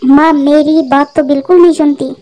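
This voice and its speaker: A woman playing a gentle, earnest young mother bird in a Hindi cartoon. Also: high voice, fairly even intonation, fairly quick, natural voice. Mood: pleading.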